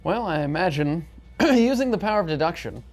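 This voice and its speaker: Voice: mid-range voice, lively intonation, fairly quick, a little mellow. Tabletop game player, casual and playful, joking while declaring actions. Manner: playful, jokey.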